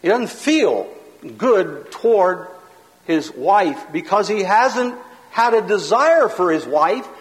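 A man with a high, carrying voice teaches like a preacher, loud and emphatic, hammering points home in rhythm. His words ring out with a long reverb, as if he is in a large hall. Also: very animated, slow pace. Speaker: pastor teaching a seminar. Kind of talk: sermon-style teaching lecture.

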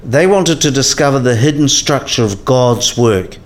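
A man's voice: mid-range voice, lively intonation, normal speed, lightly acted. A man playing a patient, scholarly professor in a radio play, explaining things in a didactic way.

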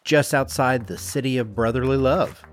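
A man's voice: medium pitch, normal speed, faint music behind. A man co-hosting a casual design discussion, speaking in an explanatory, step-by-step conversational way. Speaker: podcast co-host.

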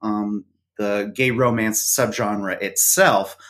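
A middle-aged man, a podcast co-host, talking casually as an interview guest and filling his pauses with ums and uhs. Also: fairly low pitch, fairly even intonation, fairly bright tone. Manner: hesitant, many fillers. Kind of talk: casual conversation.

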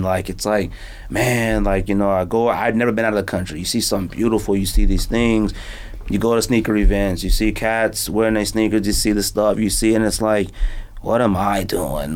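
A middle-aged American man hosting a podcast, chatting casually in slangy, rambling anecdotes. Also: fairly low pitch. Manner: slangy, animated storytelling with frequent "man" and "fam". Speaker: podcast host. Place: indoor podcast studio.

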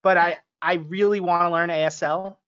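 A man's voice: high voice, fairly even intonation, moderate pace, fairly bright tone, clear, resonant tone, dull audio. A man with an American accent chatting informally as a podcast panelist, his talk full of casual filler phrases. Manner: informal, peppers talk with "like" and "you know". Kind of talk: casual conversational banter.

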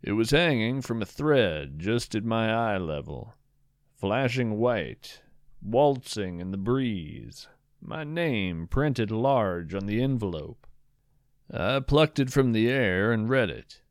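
A man's voice: on the low side, big pitch swings, takes it slowly, dry recording, studio quality. A man hosting a storytelling podcast with scripted, poetic narration, musing and savoring his words.

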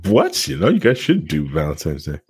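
A man's voice: rumbling low, huge pitch swings, fairly quick, a little mellow, husky. A man co-hosting a casual podcast, talking sarcastically and acting out mock quotes with some swearing. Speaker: podcast co-host.

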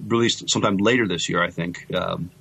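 A man's voice: low voice, fairly even intonation, fairly quick, vocal fry, a bit bright, husky, rough recording. A man co-hosting a podcast in casual chat, with a hesitant, stumbling way of talking. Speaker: podcast co-host.

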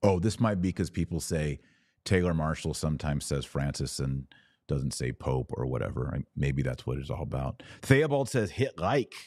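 A man with a very low, relaxed voice speaks on a close, dry microphone. He hosts an informal livestream, giving earnest advice. He urges listeners along and poses questions to answer himself. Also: moderate pace, dry recording. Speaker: podcast host answering live viewers.

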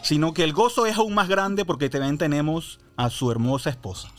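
A man who is a pastor, interviewing guests on a church radio show in a chatty, warm way with many restarts. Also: fairly high pitch, a little fast, soft background music.

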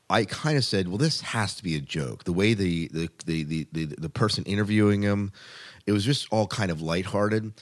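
Low-voiced man hosting a podcast. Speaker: podcast host. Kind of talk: casual news chat.

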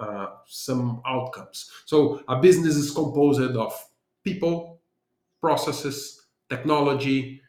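A man from Brazil with a Brazilian accent, answering interview questions thoughtfully and repeating words as he restarts his sentences. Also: medium pitch. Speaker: guest consultant and trainer. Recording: roomy echo.

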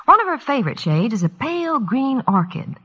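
A woman's voice: a little high, sing-song, brisk, husky. A woman reading a scripted old-time radio commercial with a sales delivery. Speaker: commercial announcer. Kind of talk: 1940s-50s radio ad read. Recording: dull old radio broadcast.

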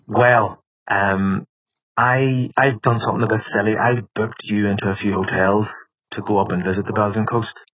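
A man's voice: lowish voice, fairly even intonation, a bit bright. Hesitant Irish man on a lo-fi line. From Ireland. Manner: hesitant, lots of ums and pauses. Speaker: podcast host. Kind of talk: casual phone call. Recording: dull lo-fi phone sound.

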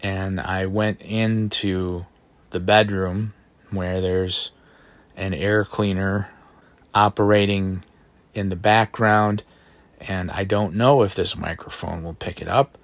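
A man with a baritone voice hosting a solo podcast, thinking aloud in a reflective, self-deprecating way, recorded on a phone in a bedroom at home. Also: fairly even intonation, slow pace, no echo. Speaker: podcast host. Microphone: phone microphone.

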